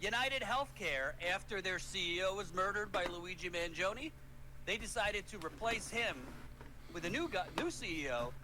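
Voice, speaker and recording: A man's voice: high-pitched, lively intonation, normal speed, background noise. A man delivering an impassioned political rant, emphatic and repeating key words, in a played clip. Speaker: political commentator.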